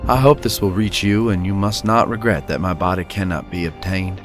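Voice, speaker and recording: A man playing a dying young Confederate soldier in a solemn, measured dramatic reading of his last letter home. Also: low-pitched, soft background music, close to an everyday voice. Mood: solemn, tender.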